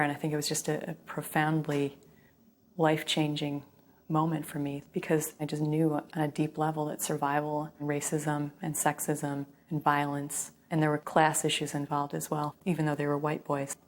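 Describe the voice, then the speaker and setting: A woman from the United States giving thoughtful, measured personal testimony as a documentary interviewee. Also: deep voice. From United States, Western New York.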